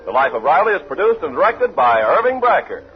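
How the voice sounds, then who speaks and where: A man's voice: a little high, sing-song, quick pace, over loud music. A man working as a radio announcer, reading show credits and advertisements in a 1940s style. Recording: muffled, faintly noisy 1940s radio recording.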